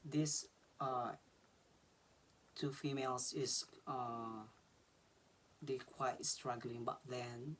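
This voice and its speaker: A man's voice: medium pitch, very slow, long pauses, a little hiss or hum. A man in his thirties with a Filipino accent, casually giving exam advice in a small bedroom and often repeating words and saying "uh" as he thinks. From Philippines. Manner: relaxed, repeats words and fills with uh. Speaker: vlogger explaining an exam.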